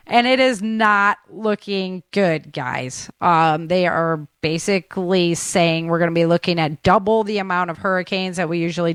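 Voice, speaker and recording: A woman's voice: medium pitch, fairly bright tone, smooth, no room sound. A woman hosting a talk show, running through news updates in a chatty, informal way. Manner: chatty, informal, addresses listeners as guys. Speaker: show host.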